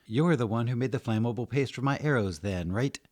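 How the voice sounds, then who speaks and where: A man's voice: mid-range voice, fairly quick, darkish tone, voice pushed up, natural voice. A man voicing a nervous young woman archer, a newcomer in a fantasy story. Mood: curious.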